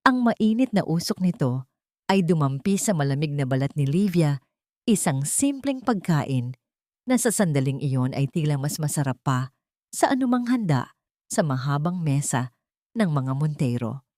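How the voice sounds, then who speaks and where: A woman narrating a scripted dramatic audio story in a measured, literary way. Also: deep voice, very animated, a little mellow, dry recording, clean studio sound.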